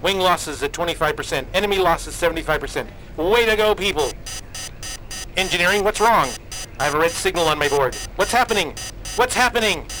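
A man's voice: on the high side, animated, a little fast, pushed and bright, faint music behind, low-quality recording. A man playing an urgent starship battle commander in a science fiction radio drama, barking clipped orders. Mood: excited, alarmed.

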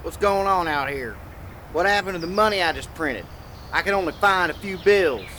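An actor playing an indignant counterfeiter in a western radio drama, agitated and protesting. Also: male voice, high-pitched, animated, normal speed, sharp tone, a little hiss or hum. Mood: alarmed. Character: indignant male counterfeiter demanding his fair share.

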